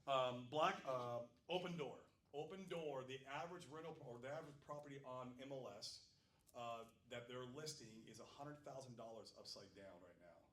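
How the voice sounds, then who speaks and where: A man from Phoenix speaking on a seminar panel, animated and rambling, with frequent restarts. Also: mid-range voice, normal speed. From Phoenix, USA. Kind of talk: live seminar panel talk. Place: seminar room. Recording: echoey room, distant mic, faint noise.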